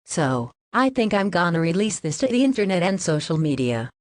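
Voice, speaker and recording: A rather low female voice, close and dry. A woman acts a casual, matter-of-fact character in an amateur disaster drama. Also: big pitch swings, a little fast, dry recording.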